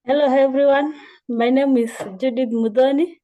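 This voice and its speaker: Eager woman with a high voice. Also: steady tone, moderate pace, phone-quality sound. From Kenya. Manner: eager, grateful. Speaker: class participant. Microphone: video call.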